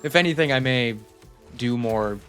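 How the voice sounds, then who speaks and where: A man's voice: medium pitch, moderate pace, fairly bright tone, faint music behind. A young man giving a casual, rambling channel update, self-deprecating and reassuring. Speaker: channel host.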